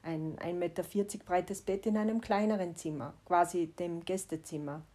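A woman in her forties with a Styrian Austrian accent, reflecting on her life in a personal monologue. Her voice is mellow and slightly husky. She talks candidly, with a wry, gently self-mocking edge. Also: on the low side, steady tone, normal speed. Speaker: vlogger.

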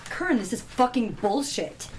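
A woman's voice: fairly high pitch, expressive, moderate pace, slight background noise, lightly acted. A woman at a table read playing a hostile, territorial teenage surfer bro with a sneering, slangy manner. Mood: heated.

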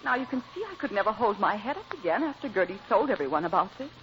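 A woman playing a gentle, anxious, wavering young wife, pleading and hesitant, in an old radio comedy. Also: medium pitch, sing-song, fast. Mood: regretful, pleading. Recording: dull, noisy old radio recording.

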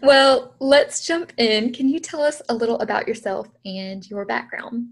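Gravelly-voiced woman hosting a podcast. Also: fairly high pitch, measured pace. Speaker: podcast host. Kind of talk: warm interview podcast, partly reading definitions.

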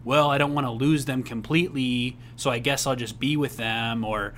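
Young male co-host, bright edge to the voice, earnest rambling delivery, light noise under it. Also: steady tone, normal speed. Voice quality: says he has a rather high voice. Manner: earnest, rambling with restarts, laughs easily. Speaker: podcast co-host. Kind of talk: casual advice chat.

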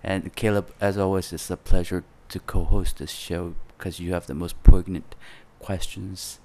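A man co-hosting a podcast, who talks in halting starts, repeating words and laughing often. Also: low-pitched, fairly even intonation, a little mellow. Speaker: podcast co-host. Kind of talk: casual conversation.

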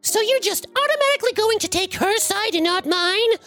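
Spiteful storytime mother: very high, shrill, swooping accusations over background music. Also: animated, fairly bright tone. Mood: furious, accusing. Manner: shrill, drawn-out scolding. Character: enraged, spiteful, accusing mother berating her child. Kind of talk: acted storytime dialogue.

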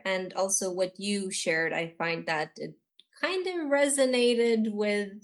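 A woman's voice: on the low side, a bit bright. A woman from Romania, talking reflectively and hesitantly as a guest on a podcast. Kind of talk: reflective personal talk.

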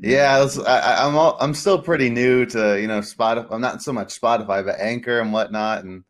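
A man's voice: mid-range voice, fairly quick, a little hiss or hum. A young man from Peru, a podcast guest, talking earnestly with many fillers. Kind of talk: casual interview chat.

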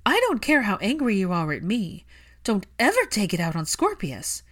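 A woman's voice: mid-range voice, wildly swooping pitch, moderate pace. A woman narrator voicing a fiercely loving, hurt young woman in an audiobook, speaking with emphatic feeling. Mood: furious. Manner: emphatic, impassioned.

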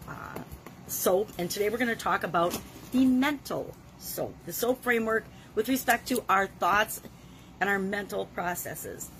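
A middle-aged woman with a gravelly voice from a cold, leading a self-help challenge in an earnest, conversational way. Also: mid-range voice, fairly bright tone, slight background noise, poor audio. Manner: earnest, conversational, with frequent restarts. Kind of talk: motivational talk to camera.

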